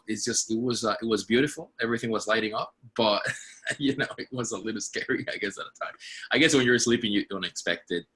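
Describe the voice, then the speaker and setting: Bright-voiced man on video call. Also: mid-range voice, husky. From Puerto Rico. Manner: hesitant, searching for words, earnest. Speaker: artistic director and university faculty member. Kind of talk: casual self-introduction on a video call.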